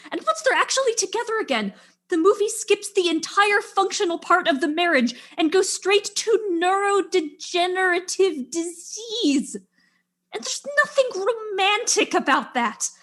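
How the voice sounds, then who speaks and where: A woman plays an indignant widow with a high-pitched voice that swings widely. She is scornful and emphatic, throwing her lines out dramatically in a comic murder mystery. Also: expressive, moderate pace, fairly bright tone. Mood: scornful ranting.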